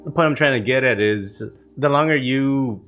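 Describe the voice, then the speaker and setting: A man's voice: mid-range voice, moderate pace, fairly bright tone, soft background music. A man with a bit of a cold, talking to camera in a casual, rambling vlog, swearing freely and full of fillers. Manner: rambling, profane, many fillers. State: coming down with a cold. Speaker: vlog host. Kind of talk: casual morning vlog talk. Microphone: camera mic.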